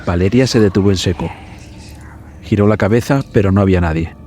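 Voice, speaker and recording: A man narrating a scripted suspense horror story for a podcast in a dramatic, measured way. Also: sits low, noisy background. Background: background music.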